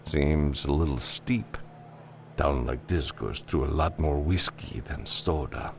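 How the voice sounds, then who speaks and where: A man voicing a gruff, level-headed freelance pilot in an audiobook, casual and dry. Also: extremely low pitch, takes it slowly, slight background noise, full character voice. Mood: cool, probing.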